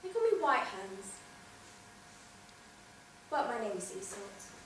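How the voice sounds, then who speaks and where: A young woman playing a wounded, defiant wife from old legend, speaking a theatrical monologue in deliberate, weighted phrases. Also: medium pitch, big pitch swings. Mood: wounded bitterness. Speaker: drama student performing an exam monologue. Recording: very echoey room, distant mic, faint noise.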